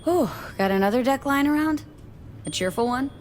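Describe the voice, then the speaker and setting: A woman's voice: high voice, animated, moderate pace, a little mellow, faint music behind. A woman playing a guarded young street mercenary in a video game, talking in a sardonic, clipped, casual way. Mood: wry. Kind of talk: naturalistic video game acting.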